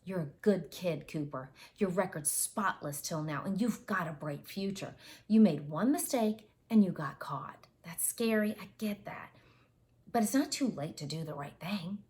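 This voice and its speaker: A woman voicing a calm, persuasive male police detective. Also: lowish voice, a little slow. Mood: coaxing.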